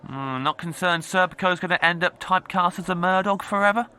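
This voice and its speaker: A man's voice: sits high, brisk, bright, forceful tone, clear, resonant tone. A man playing an earnest, awkward amateur comic, chatty and a little defensive. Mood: eager. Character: earnest, awkward male ex-cop stand-up comic.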